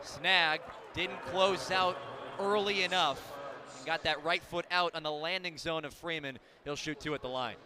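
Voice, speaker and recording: A man's voice: on the high side, moderate pace, crowd noise. An American man doing live radio play-by-play of basketball, with clipped, descriptive calls. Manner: clipped, descriptive calls that rise on big plays. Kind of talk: live sports commentary. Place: indoor basketball arena. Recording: clear arena noise, bouncing basketballs. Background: game noise and basketball bounces.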